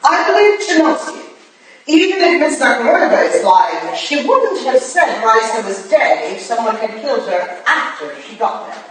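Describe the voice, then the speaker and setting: A woman's voice: fairly high pitch, very animated, moderate pace. A woman playing a commanding, analytical Victorian lady detective in a staged play reading, recorded in an echoey hall. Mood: reasoning. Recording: echoey hall from far off, faint noise.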